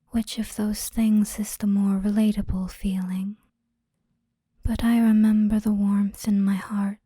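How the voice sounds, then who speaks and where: A woman guiding a hypnosis relaxation in a soothing, gentle, breathy whisper, unhurried and with frequent pauses, close to the microphone. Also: mid-range voice, dry recording. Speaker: hypnosis guide. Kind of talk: whispered ASMR guided relaxation storytelling.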